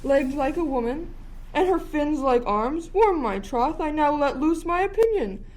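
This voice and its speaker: A woman's voice: high-pitched, expressive, unhurried, darkish tone. A woman playing a jittery, inquisitive shipwrecked jester in a theatrical Shakespearean radio play. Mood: wary curiosity. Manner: theatrical, musing in archaic prose.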